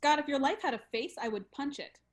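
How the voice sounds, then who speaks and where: A woman's voice: sits high, expressive, fast talker, slight background noise. A woman playing a blunt, hostile, sarcastic young woman in a table read. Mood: sarcastic, needling.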